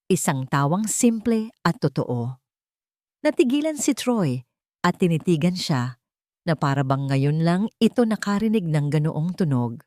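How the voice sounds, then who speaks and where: A woman's voice: low-pitched, very animated, measured pace, dark tone, no echo, clean studio sound. A woman storyteller narrating a scripted romantic story.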